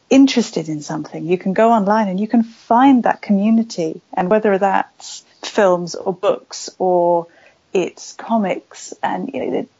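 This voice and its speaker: A woman's voice: medium pitch, dark tone, no echo. Woman from West Wales, author guest, chatty, rambling, dry close sound, dull lo-fi. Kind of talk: casual interview chat.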